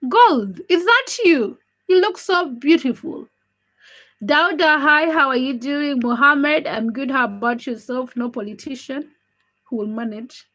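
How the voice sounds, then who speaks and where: A woman's voice: high-pitched, measured pace, fairly bright tone. A young woman from Uganda, not a native English speaker, hosting a casual livestream, chatting candidly and greeting viewers by name. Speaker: livestream host. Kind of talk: casual livestream chat reading comments.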